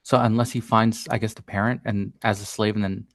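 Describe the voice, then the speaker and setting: A man's voice: on the low side, flat delivery, brisk. A man student answering a question, hesitant and thinking aloud with many fillers.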